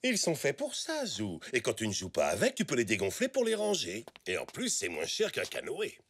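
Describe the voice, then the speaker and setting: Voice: mid-range voice, huge pitch swings, normal speed, fairly bright tone, slightly rough, exaggerated voice. An actor voicing a friendly, good-humoured adult zebra shopkeeper in a French children's cartoon, speaking expressively. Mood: helpful. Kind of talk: animated children's cartoon dialogue, French dub.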